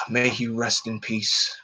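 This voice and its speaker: A man hosting a casual live stream, talking in an opinionated, animated way that jumps up in pitch when he gets excited. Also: takes it slowly, husky. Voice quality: no regional accent. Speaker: streamer host. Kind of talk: casual chat to a live audience. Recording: dull lo-fi home stream audio.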